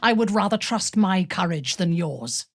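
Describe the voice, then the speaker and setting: A woman playing a ruthless, haughty dark elf noblewoman in a fantasy video game, speaking in a measured, menacing way with a breathy, husky voice. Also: fairly low pitch, expressive, dry recording, clean studio sound. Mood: commanding, menacing. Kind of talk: dramatic fantasy game dialogue.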